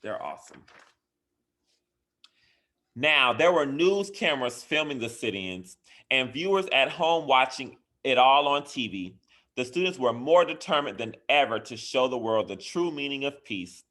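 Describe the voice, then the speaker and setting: A man reading a picture book aloud to children in an expressive way, with deliberate, emphatic phrasing and dramatic stresses. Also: mid-range voice. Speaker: school educator reading a bedtime story.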